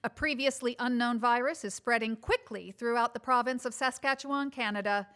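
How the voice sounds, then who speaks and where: A woman's performance of a prim female news anchor in a radio comedy. The voice sits fairly high, with formal, deadpan, scripted delivery and an uptight edge. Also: fairly quick, natural voice. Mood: formal, serious. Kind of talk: scripted newsreading in a comedy sketch.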